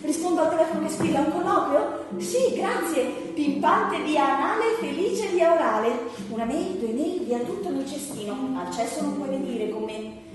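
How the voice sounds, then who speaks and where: Heard from afar in a very echoey hall, an actress plays a frantic, exasperated jobless daughter. Her voice is high, agitated and repetitive, with a brittle forced cheer, in surreal satirical theatre. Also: expressive. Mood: manic. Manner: rapid listing, repetitive, agitated. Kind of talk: surreal satirical stage monologue and dialogue. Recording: distant echoey hall, faint noise.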